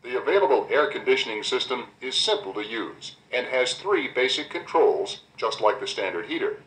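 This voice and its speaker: An American man reading a scripted instructional guide, addressing the listener directly in a clear, explanatory way, on an old cassette recording. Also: medium pitch, sing-song, normal speed, fairly bright tone. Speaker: instructional narrator. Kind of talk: scripted car owner's guide narration. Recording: roomy replay of 1990 cassette tape.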